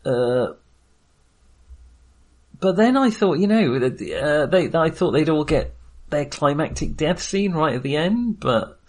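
A man's voice: fairly high pitch, animated, takes it slowly. A man co-hosting a film podcast in casual discussion, talking in a hesitant, rambling way and often correcting himself.

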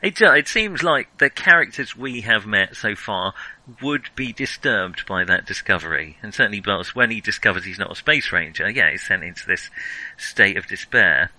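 Man, very bright sharp voice, dry close sound, casual film podcast host, thoughtful and hedging. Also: on the low side, expressive, moderate pace, dry recording, low-quality recording.

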